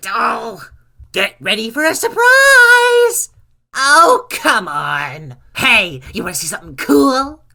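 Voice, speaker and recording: A woman voicing a manic, excitable showman bear animatronic in a horror game, theatrical and prankish. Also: a little high, slow pace, gravelly, full character voice. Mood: manic excitement.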